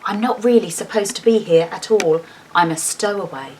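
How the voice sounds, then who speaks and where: A woman's voice: mid-range voice, normal speed, darkish tone, close to an everyday voice. A woman voicing a small, polite, earnest young male bear in a children's storybook reading. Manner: courteous, earnest, proudly declaring. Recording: distant mic with faint background noise.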